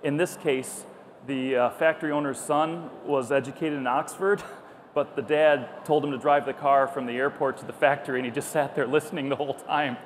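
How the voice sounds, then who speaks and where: A man's voice: medium pitch, moderate pace. A middle-aged man giving a conversational public talk full of anecdotes, speaking into a lapel mic on a stage. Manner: conversational, animated storytelling, acts out dialogue. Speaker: company founder, conference speaker. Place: stage at a busy trade show hall. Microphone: clipped lapel mic. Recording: clear background noise of event hall.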